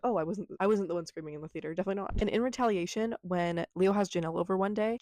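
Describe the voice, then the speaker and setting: A woman's voice: fairly low pitch, a little mellow, no room sound. A young woman vlogger recapping a show from memory in casual, filler-heavy chat, sounding slightly congested. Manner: casual, chatty, many fillers, says "like" a lot. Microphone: camera mic.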